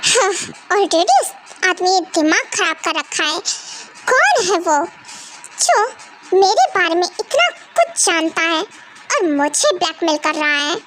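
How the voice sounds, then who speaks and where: A woman acts out an angry man who is being blackmailed. He is irritated and threatening, voiced very high over faint background noise. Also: sing-song, slow, a bit bright, heavily acted, poor audio.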